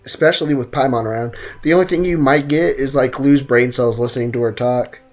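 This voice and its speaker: A young man with a medium-pitched voice commenting on a game for his stream. He talks in slangy, joking banter with theatrical flourishes. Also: brisk, soft background music. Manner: slangy, jokey, theatrical outbursts. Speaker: game livestreamer. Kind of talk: casual playthrough commentary with chat banter.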